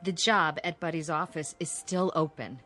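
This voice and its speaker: An actress playing a brisk, managing married sister in a radio drama, with a direct manner. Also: female voice, low-pitched, a bit bright, soft background music. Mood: brisk, coaxing.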